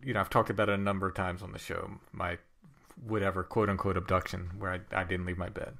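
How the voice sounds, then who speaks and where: A man's voice: low-pitched, flat delivery, fast talker, creaky, a bit bright. A man hosting a chat show who speaks emphatically and repeats points. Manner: conversational, emphatic, repeats himself. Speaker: host. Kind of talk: casual interview chat.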